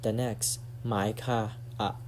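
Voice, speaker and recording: Guy teaching phrases, kinda low voice, repeats stuff over and over for practice. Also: flat delivery, slow pace, darkish tone, slight background noise. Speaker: language teacher. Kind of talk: casual spoken language lesson.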